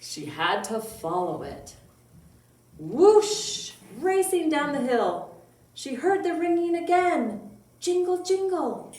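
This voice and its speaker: Slow-paced woman reading a children's story. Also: sits high, big pitch swings, darkish tone. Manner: slow, measured storytelling with drawn-out words and dramatic emphasis. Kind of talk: expressive picture-book read-aloud for young children. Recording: roomy echo, distant mic, faint noise.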